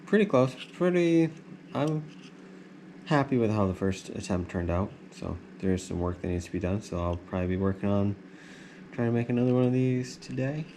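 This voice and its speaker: A man's voice: lowish voice, expressive, normal speed, mellow. A man giving a casual, plain-spoken hands-on demonstration for a boat-refit vlog. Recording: clear background noise, ambient sound.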